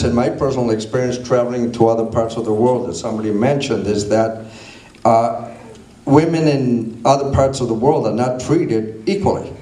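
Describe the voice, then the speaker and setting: A man's voice: medium pitch, mellow. A man from a business chamber speaking bluntly and emphatically on a panel. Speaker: chamber executive. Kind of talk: panel talk. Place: conference hall. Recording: echoey hall from far off.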